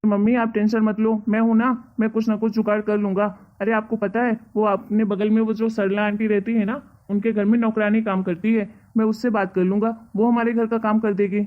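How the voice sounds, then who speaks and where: Woman as timid cartoon son, dutiful, pleading, flat intonation, narrow tinny radio-like recording. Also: mid-range voice, fairly quick, hardly any pauses, natural voice. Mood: reassuring. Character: coddling, timid grown-up son of the household. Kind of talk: Hindi cartoon family drama voice acting. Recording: tinny, narrow phone-like sound.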